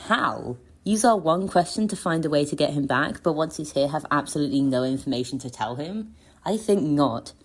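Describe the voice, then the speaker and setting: Deep-voiced woman, playfully rhetorical. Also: lively intonation, moderate pace, husky. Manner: playfully rhetorical, poses questions and answers them. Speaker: listener sending in a voice submission. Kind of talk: prepared persuasive fan monologue.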